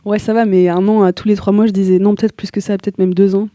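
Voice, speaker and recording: Young woman with a dark, flat voice. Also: medium pitch, quick pace, no room sound. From Italy. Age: young adult. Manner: relaxed, slangy, searching for words. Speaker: singer, interview guest. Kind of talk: casual interview chat.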